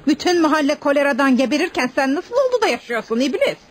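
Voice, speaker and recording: An actress playing a spiteful, sharp-tongued older mother in a radio play, brusque and scolding. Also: high-pitched, sing-song, fast talker. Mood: venomous, indignant. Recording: noisy radio drama recording.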